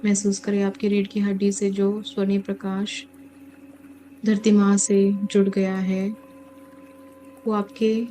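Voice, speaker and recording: A woman leading a guided meditation as a teacher, speaking calmly in short, measured phrases with pauses. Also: medium pitch, slight background noise, low-quality recording. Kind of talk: guided meditation, slow soothing instruction. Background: music.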